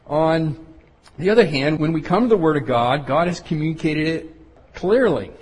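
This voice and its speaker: A man's voice: on the high side, animated, moderate pace, a little mellow, breathy edge. A man teaching a Bible study sermon in an earnest, explanatory way, building lists of points. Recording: long reverb of a hall.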